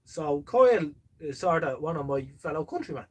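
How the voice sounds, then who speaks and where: Husky, higher-pitched man chatting live. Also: expressive, moderate pace. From born in the Netherlands, lives in Ireland. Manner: rambling, jokey, self-deprecating. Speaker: live stream host. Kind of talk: casual live stream chat, answering viewers' comments.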